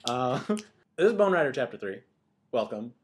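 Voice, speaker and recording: A man co-hosting a podcast in casual comedic banter, playful and quick to interject. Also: medium pitch, very animated, a little hiss or hum. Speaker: podcast co-host.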